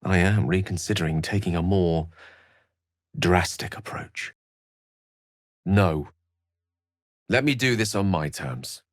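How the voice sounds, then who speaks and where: An actor voicing a learned, courteous wizard in a video game, with eloquent, courtly phrasing and wry asides. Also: male voice, low-pitched, takes it slowly, long pauses, no echo, clean studio sound. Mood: regretful, resolute. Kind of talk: video game character voice lines.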